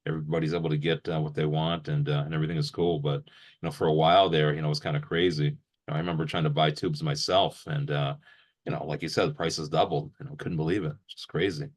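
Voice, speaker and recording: A man's voice: very deep voice, level tone, fast. A man hosting a gear show, chatting casually and agreeably as an interviewer and often repeating his phrases.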